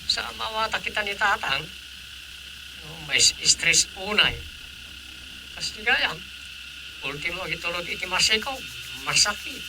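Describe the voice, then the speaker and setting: A man's voice: high voice, animated, unhurried, gravelly, long pauses, pushed and bright, a little hiss or hum, full character voice, poor audio. A man acting in a radio drama, talking in a chatty, explaining way.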